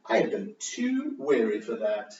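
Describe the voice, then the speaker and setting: Guy doing a crazed killer confessing, gothic horror story, high voice, slow n dramatic, cunning and frantic. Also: huge pitch swings, slight background noise, full character voice. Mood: gleeful, cunning. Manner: halting dramatic phrasing, swinging from hushed to loud. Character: mad murderer confessing, gleeful, cunning, increasingly frantic man. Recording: distant mic, roomy echo, muffled.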